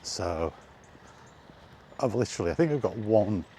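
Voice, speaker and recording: Man, mellow bass voice, slow pace, casual rambling chat, hesitant, faint outdoor noise. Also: expressive. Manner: hesitant, meandering, thinking aloud. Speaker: gaming content creator and podcaster.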